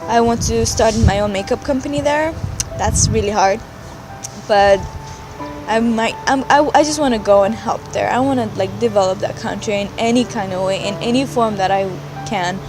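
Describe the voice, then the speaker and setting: A young woman in her late teens answers interview questions with an earnest, casual delivery. She fills her sentences with "like" and restarts them often, and her pitch sits in an ordinary middle range. Music plays clearly behind her voice. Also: normal speed. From Afghanistan. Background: background music.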